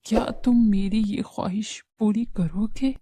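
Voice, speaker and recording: A woman voicing an ailing, gentle old mother who pleads softly with her sons in a children's moral story cartoon. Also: medium pitch, a little slow, dry recording, voice pushed down, lightly acted. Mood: weak, pleading.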